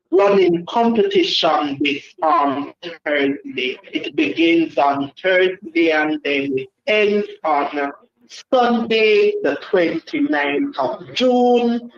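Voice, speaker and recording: Rambling high-voiced male caller. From Jamaica. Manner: rambling, hesitant with many ums. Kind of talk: casual call-in chat. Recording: echoey muffled phone-in line.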